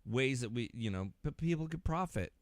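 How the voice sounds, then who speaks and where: A man's voice: medium pitch, expressive, normal speed, no echo. A man hosting a podcast in loose, casual conversation. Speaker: podcast host.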